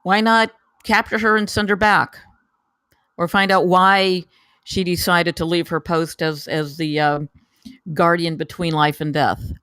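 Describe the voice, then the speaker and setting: A person giving casual spoken feedback on a script, haltingly, often repeating words and phrases. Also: mid-range voice, little pitch movement, pushed and bright, clean tone, no room sound. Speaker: table read participant giving script feedback.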